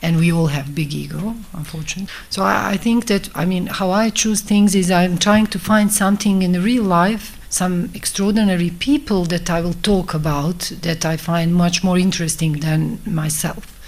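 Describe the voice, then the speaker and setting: Low-voiced Bosnian woman, reflective. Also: steady tone, darkish tone. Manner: reflective, halting phrasing in non-native English. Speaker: film director giving a talk. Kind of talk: informal public talk, conversational.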